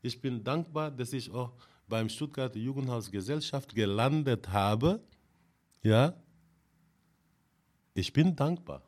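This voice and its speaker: A man from Senegal speaks German in a relaxed conversation. His pitch moves around a lot, and he keeps dropping in short agreeing words as he talks. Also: takes it slowly, pauses often. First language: not German. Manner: warm, repeats short affirmations, laughs often. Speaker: guest. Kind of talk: casual conversation.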